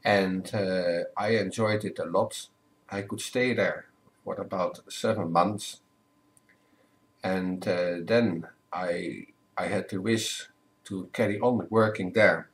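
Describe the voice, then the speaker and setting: Low-voiced older man, measured. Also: steady tone. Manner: careful, measured, with self-corrections. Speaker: priest, guest. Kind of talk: relaxed interview answers. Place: home living room. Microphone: webcam at desk.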